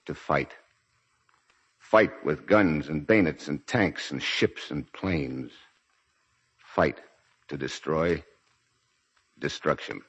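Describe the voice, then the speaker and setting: A man's voice: extremely low pitch, slightly rough, full character voice. A man acting as a stoic, fatherly military commander in 1940s radio drama, grave, terse and commanding. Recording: muffled 1940s radio broadcast recording.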